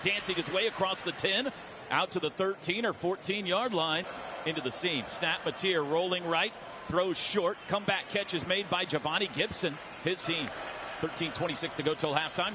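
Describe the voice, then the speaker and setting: An American man calling football play-by-play on the radio in clipped, vivid, excitable phrases. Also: fairly high pitch. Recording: noisy stadium radio broadcast.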